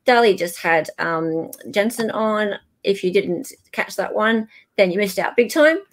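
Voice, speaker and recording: A woman's voice: medium pitch, animated, measured pace. A woman hosting a casual livestream, gushing and repeating words for emphasis. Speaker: livestream host.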